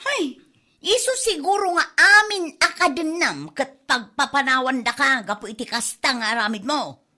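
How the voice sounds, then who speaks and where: High, bright-voiced bossy wife, Ilocano accent, scolding with wide swings, for comedy audio drama played by a woman. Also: lively intonation, normal speed. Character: domineering, threatening, heavyset wife scolding her drinking husband. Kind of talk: comedy drama podcast acting.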